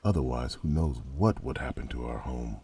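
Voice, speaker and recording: A man playing a dry, weary royal knight and protector in a fantasy audio drama, with a gravelly voice. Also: rumbling low, animated, moderate pace, warm tone, dry recording, full character voice. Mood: sober concern.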